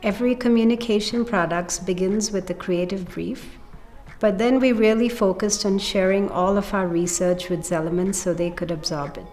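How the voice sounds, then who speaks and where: A woman giving a measured, explanatory account of a project as its spokesperson. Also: medium pitch, a little mellow. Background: faint music.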